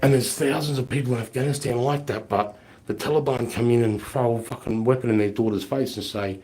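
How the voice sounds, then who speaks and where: A man's voice: medium pitch, steady tone, a little fast, a little mellow. A man, rambling bluntly and swearing as he answers viewer questions on a live stream, his voice a little off from a flu. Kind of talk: casual live Q&A chat.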